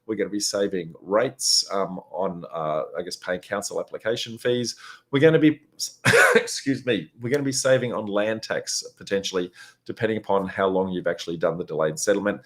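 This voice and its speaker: Hoarse man hosting a Q&A. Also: sits low, moderate pace, a bit bright. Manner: chatty, many filler "uh"s. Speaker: host. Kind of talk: live Q&A session.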